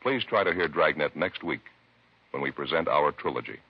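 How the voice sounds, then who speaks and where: A man's voice: very deep voice, lively intonation, normal speed, heavy background noise. An American man announcing in the formal, scripted style of 1950s radio. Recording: muffled vintage radio with loud music.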